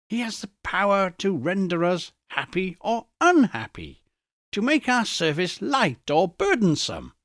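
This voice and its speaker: A man's voice: high voice, a bit bright, dry recording, clean studio sound, pitched above the performer's own voice, heavily acted. A man voicing an agitated old miser in a storybook reading, earnest, defensive and emphatic. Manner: heated, emphatic.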